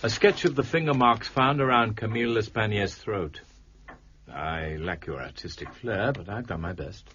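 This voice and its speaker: An actor playing a brilliant, eccentric amateur detective in an audio drama, arch and precise with wry theatrical delivery. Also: male voice, fairly low pitch, moderate pace, a bit bright, lightly acted. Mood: brisk, intent. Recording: muffled, noisy lo-fi audio.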